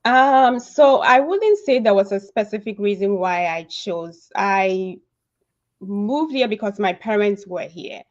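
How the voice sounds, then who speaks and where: A woman's voice: mid-range voice, lively intonation, slow, highs cut off. A woman in her thirties with a Ghanaian accent, telling her own story casually as an interview guest, repeating words for emphasis. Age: 30s.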